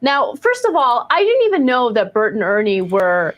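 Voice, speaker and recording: A young adult woman whose first language was not English, giving emphatic, animated opinions as a political commentator. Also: fairly high pitch, moderate pace, dry recording.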